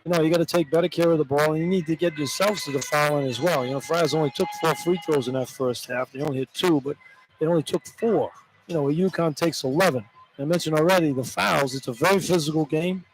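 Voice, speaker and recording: Man, fairly quick, slightly high voice, informal repetitive sports analysis, radio color commentator, faint arena noise. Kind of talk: conversational live sports analysis. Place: inside a basketball arena, broadcasting live.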